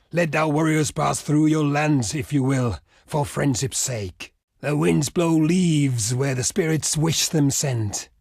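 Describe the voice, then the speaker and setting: Gravelly, slow-spoken barbarian chieftain. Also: male voice, on the high side, no room sound, studio quality. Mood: persuasive, dignified. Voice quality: gravelly, husky. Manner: declamatory, portentous, deliberate. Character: proud, gruff barbarian chieftain envoy, earthy, superstitious, warlike. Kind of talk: theatrical video game diplomacy voice lines.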